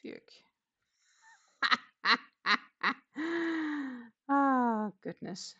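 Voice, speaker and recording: A woman hosting a casual craft livestream, chatting haltingly and muttering to herself in a self-deprecating way. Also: mid-range voice, animated, vocal fry, sharp tone, muffled recording. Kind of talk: casual livestream chat while knitting.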